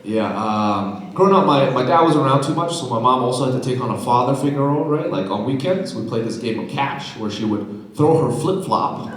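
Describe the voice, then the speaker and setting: A man from China doing a stand-up comedy set on a festival stage, with deadpan punchlines and teasing of the crowd. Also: mid-range voice, brisk, hardly any pauses. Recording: echoey theater, loud audience laughter.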